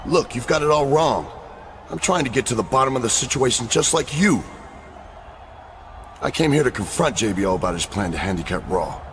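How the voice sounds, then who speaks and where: A man's voice: medium pitch, lively intonation, fairly quick, natural voice. A man playing a proud, defiant champion wrestler in a video game cutscene, on a noisy recording. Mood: defensive, challenging.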